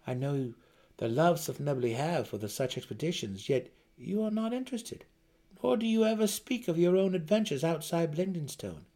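Man playing an earnest, noble dark elf. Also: on the high side, sing-song, pitched above the performer's own voice. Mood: probing. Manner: earnest, measured formal fantasy speech.

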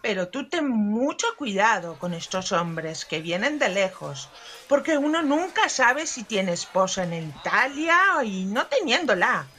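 A woman acts out a knowing confidante in a comic radio soap. Her voice is bright and swoops widely, yet she draws her words out slowly as she teases. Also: medium pitch, big pitch swings. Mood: cautioning. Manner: knowing, teasing. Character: teasing, worldly female confidante of a lovestruck girl. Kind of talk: humorous radio soap acting.

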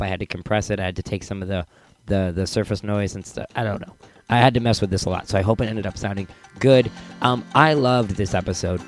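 An American man hosting a podcast, talking casually with a rough, under-the-weather voice. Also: lowish voice, dry recording. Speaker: podcast host. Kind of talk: casual podcast outro talk.

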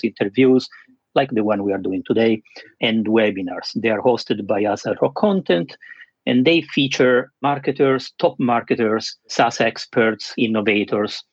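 A man hosting a webinar interview, speaking in a structured way with frequent pauses. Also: mid-range voice, slightly rough, no room sound, highs cut off. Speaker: host.

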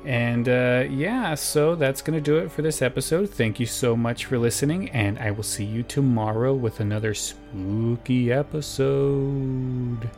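A man's voice: medium pitch, unhurried, hardly any pauses, darkish tone, faint music behind. A man hosting a podcast, chatting to listeners in a laid-back, informal, rambling way. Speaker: podcast host. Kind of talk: casual chat to listeners. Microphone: close podcast microphone, wearing headphones.

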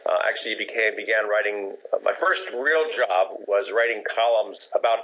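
A middle-aged man who grew up in Japan answers questions thoughtfully and often stops to correct himself. His voice sits low. The recording sounds dull and lo-fi. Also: normal speed. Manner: thoughtful, many fillers and self-corrections. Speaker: film producer, guest. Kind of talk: interview answers, storytelling. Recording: dull lo-fi audio.

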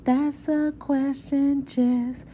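A woman educator reading poetry for small children in a gentle, measured recitation. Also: high voice, level tone, low-quality recording. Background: soft lullaby music.

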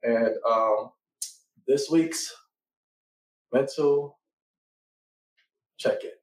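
A man hosting a casual podcast, talking in a relaxed way with many fillers. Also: medium pitch, very slow. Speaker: podcast host. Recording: echoey room, mic at a distance.

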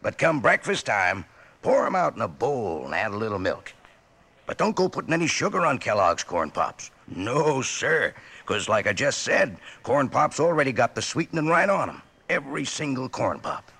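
American male pitchman, lively swooping delivery, gravelly, folksy old radio advertising. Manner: folksy, jokey, drawn-out emphasis. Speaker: commercial pitchman. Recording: vintage radio recording.

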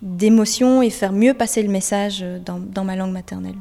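A young woman singer-songwriter answers an interviewer's questions, pausing often and restarting her sentences. Her voice sits at a middle pitch and carries a long reverb from the room around her. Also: vocal fry, mellow. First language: French. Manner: hesitant, many fillers and restarts.

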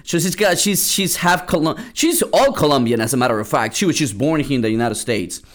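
A young man from Peru chats through a personal story on his own podcast, looping back with fillers and restarts as he goes. His voice sits a little higher than most men's. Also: very animated, a little fast. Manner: rambling, many restarts and fillers. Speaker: podcast host. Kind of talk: casual solo podcast storytelling.

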